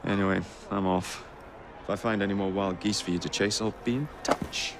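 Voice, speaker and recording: An actor with an English accent playing a cocky young assassin in a game, wry and roguish. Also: male voice, fairly low pitch, fairly even intonation, a little fast, background noise, natural voice. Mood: teasing. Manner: wry, playful, teasing. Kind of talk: video game cutscene acting.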